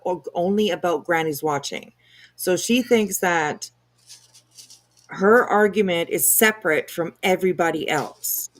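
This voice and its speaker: A woman co-hosting a casual commentary livestream, explaining things in a halting, rambling way. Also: fairly low pitch. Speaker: livestream co-host.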